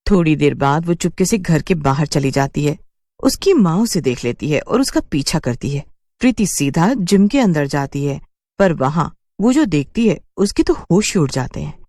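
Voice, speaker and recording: A woman narrates a horror cartoon from a script. Her voice sits a little low, moves with lively, dramatic intonation, and is recorded dry and close. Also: normal speed, dry recording. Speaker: story narrator.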